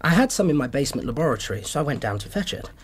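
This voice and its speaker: An earnest doctor in a radio drama. He is polite, precise and formal, with a husky voice and animated rises and falls of pitch. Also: rapid-fire. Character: earnest, courteous male doctor recounting his case. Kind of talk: radio drama acting, storytelling.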